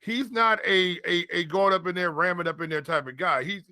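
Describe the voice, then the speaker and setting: A man's voice: high-pitched, steady tone, fairly quick, pushed and bright, no echo. A man co-hosting a casual fantasy football show, talking colloquially and repeating phrases for emphasis. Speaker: fantasy football podcast co-host and analyst. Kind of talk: casual sports talk.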